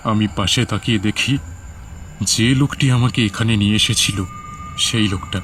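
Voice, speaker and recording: A man's voice: on the low side, steady tone, moderate pace, a bit bright, a little hiss or hum. A man narrating a scripted first-person horror story in a dramatic, suspenseful manner. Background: music under the voice.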